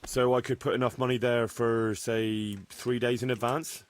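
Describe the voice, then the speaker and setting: An actor playing a young medieval bailiff in a video game, polite and inquisitive, speaking in a conversational way. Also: male voice, mid-range voice, flat delivery, normal speed, no echo, natural voice. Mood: curious, practical. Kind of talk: video game cutscene dialogue.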